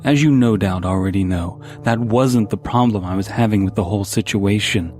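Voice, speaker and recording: A man's voice: fairly low pitch, normal speed, a little mellow. A man reading a scripted first-person horror story as its narrator. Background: background music.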